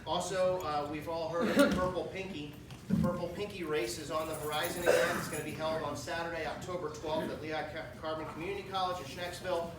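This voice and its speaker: A man's voice: fairly high pitch, fairly even intonation, quick pace, hardly any pauses. A man presiding over a club meeting, giving upbeat announcements. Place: breakfast meeting hall. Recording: echoey hall, distant mic, loud noise.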